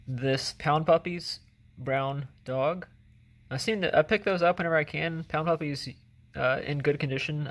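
Gravelly-voiced man, rambling. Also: fairly high pitch. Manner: relaxed, rambling, self-correcting. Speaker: reseller vlogger. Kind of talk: casual haul video narration. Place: indoors at home, filming items on floor.

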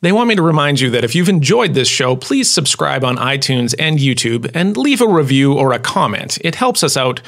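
An actor playing a vain, pompous professor of galactic history, giving a showy scripted comic lecture. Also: male voice, mid-range voice, sing-song, normal speed, hardly any pauses, a bit bright. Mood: brisk. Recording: long hall-like reverb.

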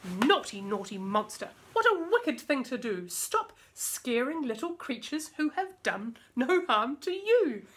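A woman's voice: high voice, big pitch swings, measured pace, hardly any pauses, slight background noise. A woman voicing a cross, bossy little fairy in a storybook, scolding firmly and emphatically. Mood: stern scolding.

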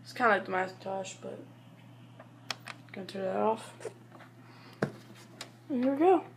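A woman giving a casual, rambling home review in a halting, offhand manner. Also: mid-range voice, animated, creaky, noisy background. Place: small bedroom at home, indoors. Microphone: handheld camera or phone.

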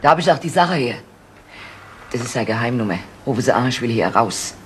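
A woman's voice: extremely low pitch, lively intonation, a little fast. A woman playing a brusque, foul-mouthed prostitute under suspicion in a 1970s German crime radio play, blunt and slangy. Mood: fed-up. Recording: noisy vintage radio drama recording.

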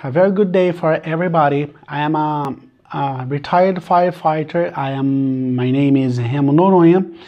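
A middle-aged man with a Portuguese accent, a native Portuguese speaker teaching a math lesson in halting English. He searches for words and counts aloud step by step. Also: a little high, dull audio. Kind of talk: screen-recorded math lesson.

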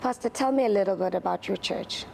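A woman's voice: medium pitch, fast talker, creaky, darkish tone, a little hiss or hum. A young woman hosting a TV interview, asking polite, direct questions from a studio on a lapel mic. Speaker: TV host.

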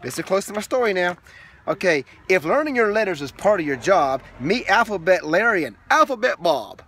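A man's voice: high-pitched, a little fast, a little hiss or hum. A man in his thirties, a father playfully reading a picture book aloud to his young son in the back of a minivan. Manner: playful, animated storytelling with asides to the child.

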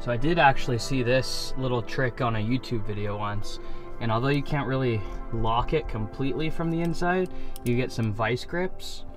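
A man's voice: medium pitch, normal speed, music in the background. A young man talking casually to camera from inside a truck camper at night, chatty and joking. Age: young adult. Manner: chatty, laid-back, joking. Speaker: vlogger. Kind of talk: casual vlog talk to camera. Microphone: camera mic at close range.

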